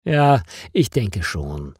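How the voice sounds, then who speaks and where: A man's voice: on the low side, sing-song, drawn-out words, husky, no room sound, studio quality, exaggerated voice. A man voicing an exasperated, puzzled female office worker in emphatic storybook dialogue. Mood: composed.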